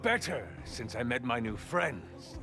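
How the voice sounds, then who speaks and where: A man playing a sly, gloating goblin villain in a video game cutscene, with a theatrical, mocking, drawn-out delivery. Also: medium pitch, expressive. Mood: smug, menacing. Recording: loud music under the voice.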